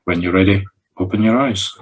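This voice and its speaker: Male Scottish meditation guide, low-pitched husky voice, short spoken instructions with an improvised feel. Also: fairly quick, poor audio. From Scotland. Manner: instructing in short, separate phrases. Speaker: medium leading a guided meditation. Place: recorded over a video call.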